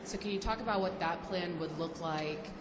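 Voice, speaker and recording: A woman's voice: on the low side, moderate pace. A woman asking a question as a reporter at a press conference. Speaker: news reporter. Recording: echoey, noisy, off-mic in room.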